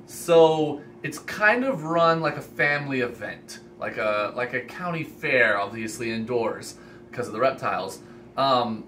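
Male vlogger, 20s-30s, eager and sincere, bright tone, filmed indoors with some background noise. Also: mid-range voice. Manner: enthusiastic, earnest. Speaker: host, reptile expo organizer. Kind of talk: casual vlog announcement to camera. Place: indoor room lined with reptile racks. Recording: steady ambient room noise.

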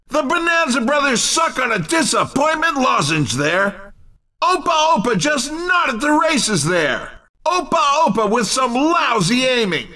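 An exuberant, very high-pitched race commentator. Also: male voice, pushed and bright, distant mic, full character voice. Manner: punchy, exclamatory, wisecracking delivery. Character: exuberant, cheeky male video game race commentator. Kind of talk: excited video game sports commentary.

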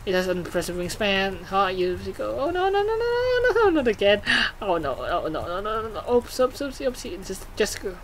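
An excitable gaming host giving casual commentary, exclaiming and swearing while reading game text aloud. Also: mid-range voice, normal speed, hardly any pauses, a little hiss or hum, low-quality recording.

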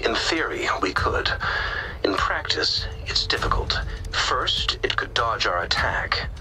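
An actor playing a calm, dry, analytical male scientist in a video game, giving a measured, precise technical briefing. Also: mid-range voice, whispered, exaggerated voice, poor audio. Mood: matter-of-fact. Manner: measured, precise, matter-of-fact. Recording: music behind the voice.

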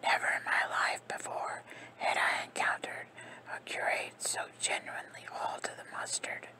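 Woman whispering a comic story. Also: normal speed. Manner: soft unvoiced whisper throughout. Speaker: narrator. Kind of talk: ASMR whispered audiobook reading.

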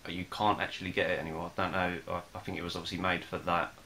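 A young man casually reviewing a beer at home, talking in a relaxed, hesitant way with many fillers. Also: low voice, fast talker, slight background noise. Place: small room in front of a plain wall.

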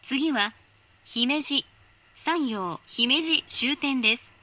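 High, bright automated train announcer woman. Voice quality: polite, measured, formal.

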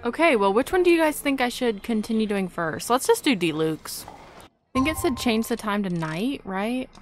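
Higher-pitched young woman, casual gamer. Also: expressive, moderate pace. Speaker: gaming YouTuber. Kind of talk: casual gameplay commentary. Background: game music.

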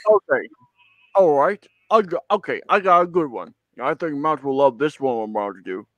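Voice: mid-range voice, expressive, moderate pace, no room sound, muffled recording. A man chatting casually on a video call during a guessing game of impressions. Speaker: guest in an online puppet show.

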